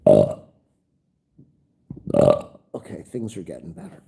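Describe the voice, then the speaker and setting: A man's voice: very deep voice, huge pitch swings, slow pace, creaky, warm tone, husky. A man with a nasal, stuffed-up voice, rambling and grumbling through a casual livestream. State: stuffed-up nose. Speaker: livestream host.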